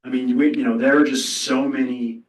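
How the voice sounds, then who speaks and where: A man's voice: mid-range voice, level tone, normal speed. A man speaking as a trade lawyer on a panel, thinking aloud and hedging as he answers. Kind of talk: spontaneous panel discussion answer. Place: indoor university event hall. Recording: echoey room, distant mic.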